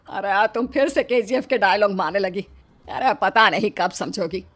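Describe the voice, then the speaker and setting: A woman voicing an older Indian mother-in-law in an animated story, affectionate but exasperated, with an expressive motherly scolding manner. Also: mid-range voice, measured pace. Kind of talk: animated moral story dialogue.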